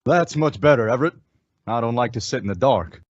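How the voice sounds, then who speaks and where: An actor playing a calm masked cowboy hero, speaking in a measured, reassuring way in an old-time western radio drama. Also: male voice, medium pitch, no echo, highs cut off, natural voice.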